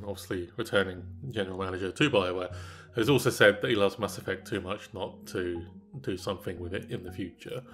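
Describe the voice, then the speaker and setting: A man's voice: fairly low pitch, creaky, a bit bright. Nasal young man with a cold. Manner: rambling, filler-heavy, disappointed. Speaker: gaming channel co-host. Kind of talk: casual gaming discussion. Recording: faint background music.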